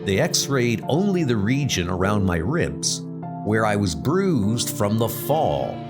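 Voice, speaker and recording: A man playing an earnest, grimly determined archer superhero in a comic book dub, with deliberate, dramatic delivery. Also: lowish voice, very animated. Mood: grim resolve. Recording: loud music under the voice.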